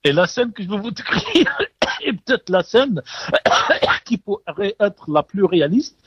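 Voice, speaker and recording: Husky accented man on a dull line. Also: high-pitched, sing-song, a little slow, a bit bright, dry recording. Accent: Algerian Kabyle. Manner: digressive, repeats words, coughs. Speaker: correspondent reporting live. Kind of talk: live radio report.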